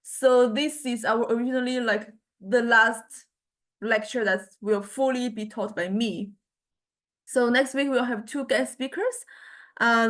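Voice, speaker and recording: A woman gives an informal university lecture in a fairly high voice. Her delivery is halting and hesitant, and she often restarts words partway through as she explains things to her class. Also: a bit bright. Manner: halting, hesitant, with frequent stammered word starts.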